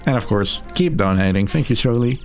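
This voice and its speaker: A man's voice: lowish voice, expressive, fairly quick, faint music behind. A man giving casual live commentary as he speedruns a video game. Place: live gaming marathon stream, game music behind.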